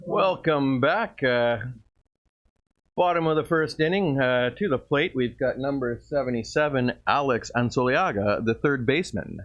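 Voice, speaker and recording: A man with a booming voice doing play-by-play commentary for a baseball game, lifting his voice on the plays. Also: measured pace. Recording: dull lo-fi stream audio.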